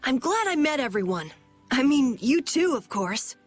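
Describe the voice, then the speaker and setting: A woman's voice: sits high, very animated, normal speed, no echo, soft background music. A woman voicing an earnest, eager young boy in a video game dub, speaking plainly and boyishly. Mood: hopeful.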